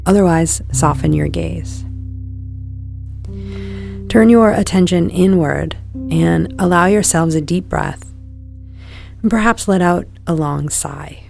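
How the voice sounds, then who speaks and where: A woman guiding a meditation in a calm, soothing, measured delivery, letting her phrases end softly. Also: on the low side, creaky, soft background music. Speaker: meditation guide. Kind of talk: guided meditation narration.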